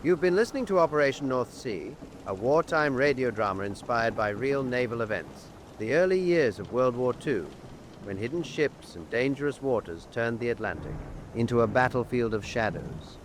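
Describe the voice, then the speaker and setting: A man's voice: mid-range voice, animated, moderate pace. A man hosting a radio drama show, reading scripted, atmospheric narration. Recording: clearly noisy outdoor-like ambience under voice.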